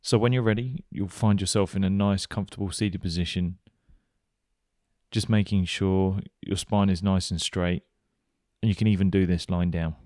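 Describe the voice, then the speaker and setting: A man leading a guided meditation, giving gentle, unhurried instructions with pauses. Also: deep voice, fairly even intonation, dry recording, clean studio sound. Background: music.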